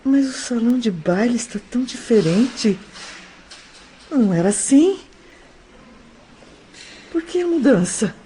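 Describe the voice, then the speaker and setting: A woman's voice: fairly high pitch, animated, measured pace, long pauses, dark tone, slight background noise. A woman playing a lovesick, delirious young woman in an audio drama, emotional and pleading for her love. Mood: wistful delusion. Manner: breathy sighs, tearful emotional outbursts.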